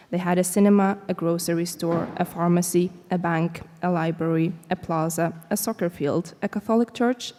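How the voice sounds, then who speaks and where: A woman, a student, reading a first-person prose text aloud to an audience at a steady, measured pace, recorded in a lecture hall. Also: on the low side.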